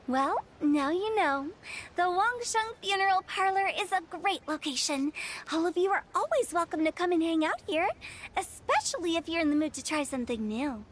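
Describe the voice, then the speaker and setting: A woman's voice: very high-pitched, expressive, moderate pace. A woman playing a perky, mischievous young funeral director in a video game, with a playful, theatrical, chatty delivery.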